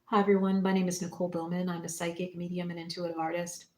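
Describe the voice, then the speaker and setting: A woman hosting a spiritual livestream, talking casually and reflectively in short phrases and gentle prompts with pauses. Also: on the low side, level tone. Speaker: psychic medium and spiritual teacher hosting a livestream. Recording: roomy, echoing room sound.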